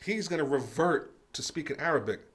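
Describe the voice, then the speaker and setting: A man from New York on a podcast, telling stories in a casual, halting way, with many restarts. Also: fairly high pitch, animated, a bit bright. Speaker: podcast co-host.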